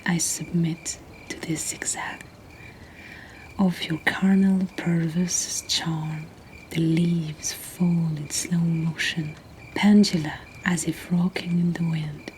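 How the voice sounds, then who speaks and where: A woman who is not a native English speaker reads poetry as a host in a soft, breathy, gentle whisper, in an ASMR style. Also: on the low side, low-quality recording. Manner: slow, gentle, deliberate delivery. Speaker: host reading submitted poetry. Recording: nighttime cricket ambience behind, faint noise. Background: crickets and insect ambience.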